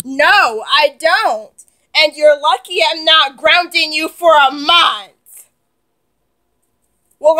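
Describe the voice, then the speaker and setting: A woman's voice: sits high, pushed and bright, full character voice, poor audio. A woman playing a firm mother who lectures her children. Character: stern, scolding mother.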